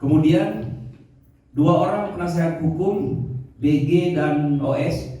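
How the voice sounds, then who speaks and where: Echoey, distant man speaking slowly and formally. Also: fairly high pitch, warm tone. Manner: halting, measured short phrases. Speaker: prosecution official briefing the press. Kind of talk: formal press statement. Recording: very echoey room, distant microphone.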